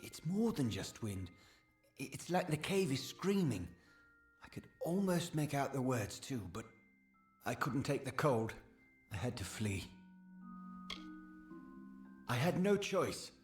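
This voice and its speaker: A man's voice: medium pitch, very animated, slow pace, long pauses. A man voicing an eager, self-effacing village sagecrafter in a fantasy game, earnest, hesitant and deferential. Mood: earnest, uneasy. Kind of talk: scripted game dialogue. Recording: roomy echo, background music.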